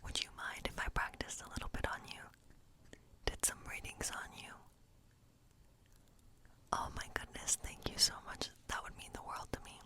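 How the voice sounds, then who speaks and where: A woman whispering an ASMR fantasy role play as a friendly, playful witch, with a breathy, gentle, coaxing manner. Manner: gentle, coaxing, slow and soothing. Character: friendly, playful, lonely witch living in a woodland cottage. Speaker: ASMR creator.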